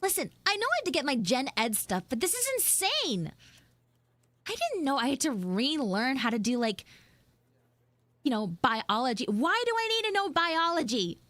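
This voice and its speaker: A woman's voice: sits high, sing-song, normal speed, a bit bright, dry recording. A woman chatting in character on a livestream as a flustered tomboy tsundere schoolgirl, with dramatic, whiny exasperation. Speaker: VTuber livestreamer doing audio roleplay. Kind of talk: improvised in-character livestream chat.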